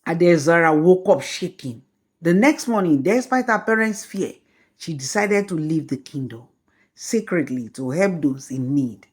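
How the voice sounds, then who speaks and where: A woman telling a folktale as scripted storybook narration, in a slow, measured way. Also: deep voice, lively intonation, slightly rough.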